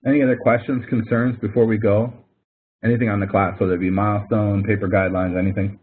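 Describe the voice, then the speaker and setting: A man with a fairly low, husky voice talks like a professor in an informal help session. He explains step by step and repeats key points for emphasis. The sound is narrow and phone-like, as on an online call. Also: flat delivery, fast talker. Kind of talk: informal explanatory tutoring with questions and answers. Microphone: online call microphone. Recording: thin phone-like online conference audio.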